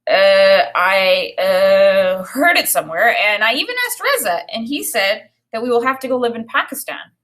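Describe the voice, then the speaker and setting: A woman's voice: medium pitch, animated, moderate pace, few pauses, a bit bright, lightly acted. A woman voicing a nervous, earnest young boy in a children's story, speaking hesitantly.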